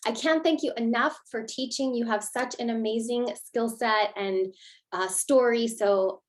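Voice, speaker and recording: A woman's voice: a little high, a little slow. A woman hosting an online cooking class over a video call, in an upbeat, enthusiastic manner. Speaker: host.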